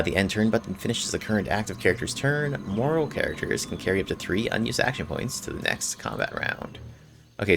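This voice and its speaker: A man's voice: on the low side, gravelly, fairly bright tone. A man hosting a gameplay video, casually commenting and reading game text aloud in an informal way. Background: faint game music.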